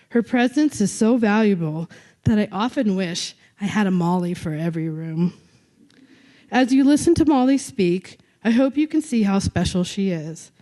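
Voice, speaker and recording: A woman introducing a speaker at a youth awards ceremony. She talks loudly and chats easily, joking about herself between her prepared remarks. Her pitch sits in the middle for a woman. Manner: loud, chatty, self-joking. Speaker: club director introducing a speaker. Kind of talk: event emcee introduction.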